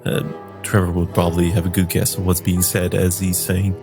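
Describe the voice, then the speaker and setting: A man's voice: deep voice, monotone, a little fast, over music. A man playing a tabletop roleplaying game, describing his character's actions in the third person.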